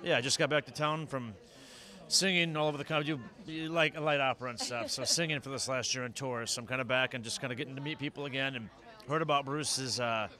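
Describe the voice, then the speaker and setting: A man giving casual, halting interview answers at a red carpet event, often repeating his words. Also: medium pitch, pushed and bright. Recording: outdoor crowd noise behind voice.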